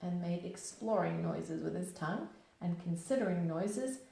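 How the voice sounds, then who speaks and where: A woman's voice: lowish voice, lively intonation, normal speed, vocal fry, dark tone. A woman teacher reading a children's storybook aloud expressively, with simple, explanatory phrasing. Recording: very echoey room.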